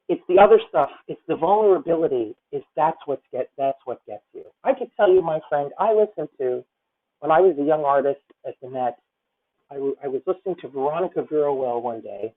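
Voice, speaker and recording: A woman's voice: deep voice, husky. A woman in her fifties coaching a singer over a video call, effusive and rambling, calling her student honey. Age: 50s. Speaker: voice teacher. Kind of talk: masterclass coaching. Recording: tinny video call audio.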